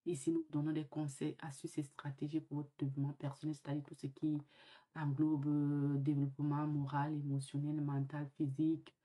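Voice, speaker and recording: A woman's voice: sits low, little pitch movement, mellow. A woman hosting a vlog while sick with the flu. She talks in an earnest, reflective way and often stops to hesitate and restart. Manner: halting, many fillers and restarts. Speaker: vlog host. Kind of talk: casual vlog monologue.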